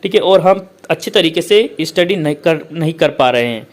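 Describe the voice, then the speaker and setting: A male teacher who explains a letter format step by step, repeats points often and reads English lines out slowly. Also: fairly high pitch, expressive. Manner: repetitive, keeps saying "okay, friends".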